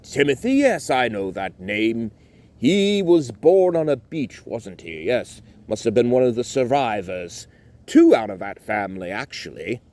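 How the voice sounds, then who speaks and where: Man doing a storybook sea king, older, stern, dismissive, lively pitch. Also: measured pace. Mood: musing.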